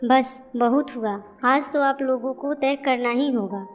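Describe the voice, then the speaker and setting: A woman's voice: high-pitched, unhurried. A woman voicing a bitter, sarcastic young daughter-in-law who scolds her in-laws in a cartoon family story, with music behind her. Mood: angry, resentful. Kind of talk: Hindi moral-story cartoon dialogue.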